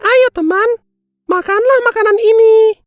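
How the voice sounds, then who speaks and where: A woman's voice: very high-pitched, a little slow, faint music behind. A woman voicing a cheerful, hospitable little country mouse with a bubbly, childlike delivery in a children's cartoon. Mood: cheerful, welcoming.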